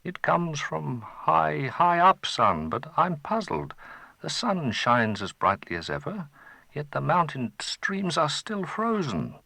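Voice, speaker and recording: A man doing a slow, musing, puzzled father. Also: on the high side, very animated, gravelly, bright, forceful tone, no room sound, voice pushed up, exaggerated voice. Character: worried father, gentle and puzzled.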